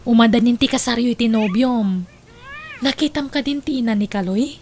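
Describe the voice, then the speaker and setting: A woman's voice: a little high, moderate pace, darkish tone, slight background noise, close to an everyday voice. A woman playing a kind, sympathetic young friend in an audio drama.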